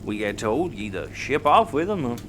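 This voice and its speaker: A man's voice: medium pitch, sing-song, a little fast, noisy background, lightly acted. A man playing a folksy, proud Texan father and space settler in a sci-fi audio comedy. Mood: folksy storytelling.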